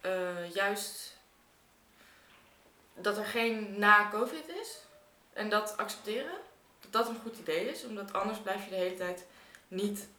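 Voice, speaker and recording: A woman's voice: mid-range voice, unhurried, sharp tone, distant mic. Woman chatting from across an echoey room. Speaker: artist, podcast guest. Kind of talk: casual group conversation.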